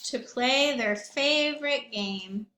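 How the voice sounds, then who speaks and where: A woman teacher reading aloud and talking to young children in an expressive storytelling manner, with questions and asides. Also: fairly high pitch, slow, roomy sound, slight background noise. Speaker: primary school teacher reading aloud to pupils.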